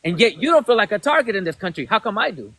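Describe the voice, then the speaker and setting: A Mexican-accented man with a notably high voice makes his case on a podcast livestream. He is emphatic and insistent and slides back and forth between English and Spanish. The sound is close and dry. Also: sing-song, fast, fairly bright tone, no echo. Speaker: podcast host. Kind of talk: heated livestream debate.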